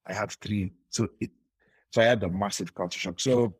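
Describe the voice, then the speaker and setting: Low, husky-voiced young man telling his story. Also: fairly even intonation, moderate pace, no room sound, studio quality. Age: young adult. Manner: rambling with many restarts and laughs. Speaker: guest. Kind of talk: casual storytelling interview.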